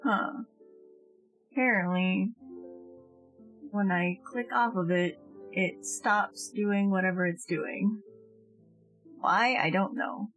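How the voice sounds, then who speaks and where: A woman's voice: mid-range voice, fairly even intonation, slow, long pauses, fairly bright tone, poor audio. Woman streaming a game, just chatting, swears a bit, mid voice, some music playing. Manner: casual, swearing. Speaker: live streamer. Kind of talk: casual banter while streaming a game.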